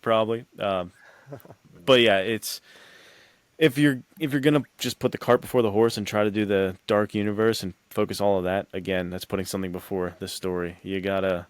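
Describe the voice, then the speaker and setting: A man's voice: fairly low pitch, steady tone, brisk, smooth, no echo. A man hosting a podcast, chatting casually and giving commentary on films and books. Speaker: podcast host. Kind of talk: casual chat, film commentary.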